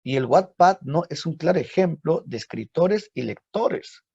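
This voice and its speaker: Animated male teacher, gravelly. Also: fairly high pitch, a little slow, no room sound. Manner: lively, asks rhetorical questions, frequent tag "¿no?". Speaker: literature teacher. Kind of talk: online literature class. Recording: dull lo-fi dry audio.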